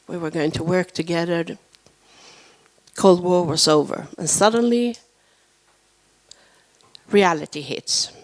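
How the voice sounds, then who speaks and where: A hoarse woman in her fifties, sick with a flu, giving a halting conference talk into a handheld microphone on a panel. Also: lowish voice. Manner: halting, searching for words. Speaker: panel speaker. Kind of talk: conference presentation.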